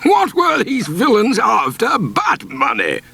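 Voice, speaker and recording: A man reading a storybook aloud, voicing a pompous, excitable country squire in a raised voice. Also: extremely high pitch, slow pace, vocal fry, slightly rough, voice pushed up, heavily acted. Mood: blustering. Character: blustering wealthy country squire, adult male.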